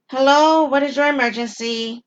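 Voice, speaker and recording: A woman's voice: high voice, normal speed, highs cut off, pitched above the performer's own voice, lightly acted. A woman acting a composed, formal emergency dispatcher in an audio drama. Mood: formal, procedural. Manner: formal, procedural.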